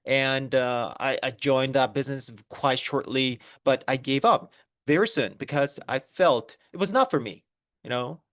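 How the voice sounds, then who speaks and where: Korean-accented man, 30s, mid-pitched, halting presenter, dry close mic. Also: fairly bright tone, no echo. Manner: halting, many fillers and restarts. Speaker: network marketing presenter. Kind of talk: online business presentation.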